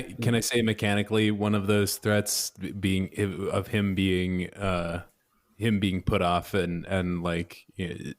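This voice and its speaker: A man playing a tabletop game, telling his character's moves casually and hesitantly as he pieces ideas together. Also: sits low. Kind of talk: casual improvised storytelling.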